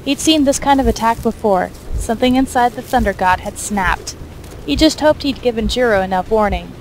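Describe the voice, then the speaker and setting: A woman's voice: a little high, moderate pace, slight background noise. A woman reading scripted fiction as the narrator of an audio story.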